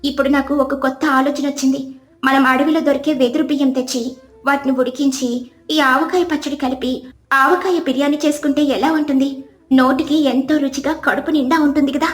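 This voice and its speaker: A woman's voice: high-pitched, steady tone, fast talker, roomy sound, lightly acted. A woman voicing a kindly female bird leader in a cartoon, encouraging and giving directions to others. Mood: reassuring.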